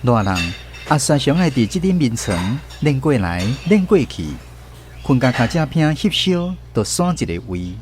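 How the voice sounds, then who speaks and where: A man's voice: medium pitch, very animated, moderate pace, darkish tone, slight background noise. A man with a Taiwanese Hokkien accent reading scripted narration for a storybook radio drama. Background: guitar music.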